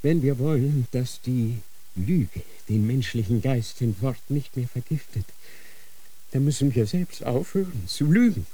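A man acting a dying old Grand Inquisitor in a radio drama, dictating slowly and solemnly in a feverish state. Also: mid-range voice, lively intonation, warm tone, slightly rough, low-quality recording. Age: older. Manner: slow, solemn dictating with sudden feverish outbursts.

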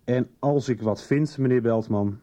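An actor playing a stern, threatening police detective who questions sarcastically in a radio drama. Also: male voice, mid-range voice, a little slow, warm tone, natural voice. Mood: sarcastic, pressing. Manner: pressing, sarcastic interrogator. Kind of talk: 1990s radio drama acting. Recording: dull lo-fi 1990s radio drama.

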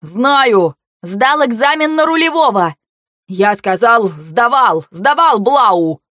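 A woman's voice: a little high, lively intonation, slow, fairly bright tone, no room sound, pitched above the performer's own voice. Female narrator as irritable young man, defensive, barking, emphatic, audiobook character, dry close sound.

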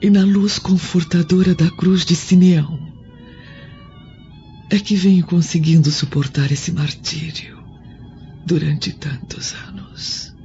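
A woman playing a gentle, devout, suffering Roman noblewoman in a radio drama, speaking solemnly and formally. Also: lowish voice, steady tone, slow, gravelly. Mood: sorrowful, resigned. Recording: dull lo-fi audio with music behind.

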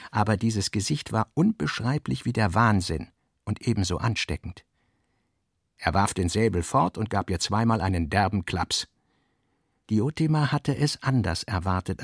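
A man reading literary prose as scripted narration, in a measured way with deliberate phrasing. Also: medium pitch, darkish tone, no room sound, studio quality.

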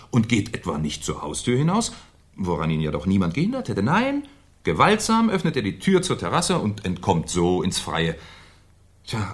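Deep, husky, shrewd police commissioner. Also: male voice, exaggerated voice. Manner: musing, hesitant, slyly polite questioning. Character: shrewd, probing male police commissioner, politely ironic. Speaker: detective in a crime drama. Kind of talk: 1970s German radio crime drama acting.

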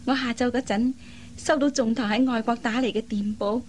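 Radio drama actress: caring young elder sister, high-pitched, earnest. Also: female voice, steady tone, moderate pace, a little mellow, slight background noise, natural voice. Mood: happy. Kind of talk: Cantonese radio drama acting.

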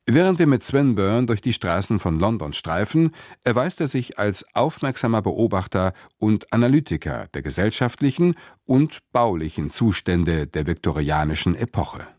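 Low-voiced, lively male radio presenter. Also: moderate pace, few pauses, no echo, clean studio sound. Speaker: radio presenter introducing a drama. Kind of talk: scripted literary introduction.

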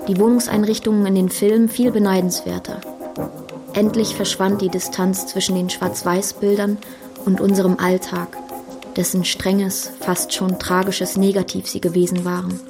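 A woman's voice: medium pitch, little pitch movement, moderate pace, close to an everyday voice. A woman performing as a detached chronicler, reading articulate, matter-of-fact literary narration for a radio play. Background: music.

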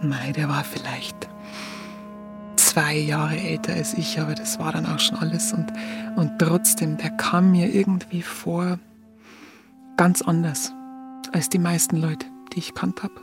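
A woman's voice: deep voice, steady tone, a little slow, over music. An actress playing a curious, smitten young woman photographer in a radio play, chatty and colloquial with a Bavarian accent. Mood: wistful, musing. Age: young adult. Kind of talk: radio play narration and dialogue.

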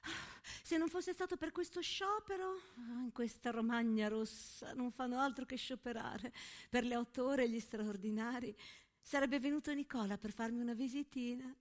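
A woman's voice: on the high side, moderate pace, close to an everyday voice. A woman playing an airy, self-absorbed married lady in a chatty, sighing dramatic monologue. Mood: contented, fussing. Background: music.

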